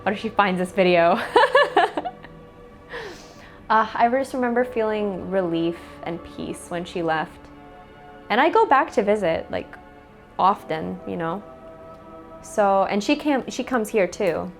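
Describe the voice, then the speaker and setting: A woman's voice: mid-range voice, lively intonation, unhurried, long pauses, soft background music. Young American woman, chatty and casual. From United States. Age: young adult. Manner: chatty, with frequent "like" fillers. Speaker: interviewee. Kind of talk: casual interview answers.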